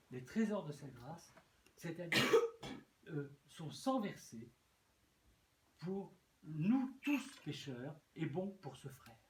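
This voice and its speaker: A man preaching a sermon as a bishop, earnest, often repeating words and restarting. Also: on the high side. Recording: echoey room with faint noise.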